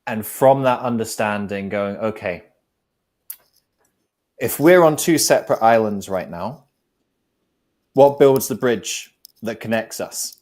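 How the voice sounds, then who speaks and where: A man's voice: lowish voice, slow pace, long pauses, a little mellow. Thoughtful man explaining with analogies. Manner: reflective, builds points with analogies. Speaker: guest speaker. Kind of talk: conversational advice on a talk show.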